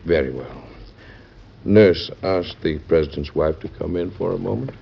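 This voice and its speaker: An actor playing an earnest, protective attendant to a president in an American old-time radio drama, formal and dramatic. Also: male voice, a bass voice, normal speed, gravelly. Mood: grave, hushed. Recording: dull vintage radio, crowd noise.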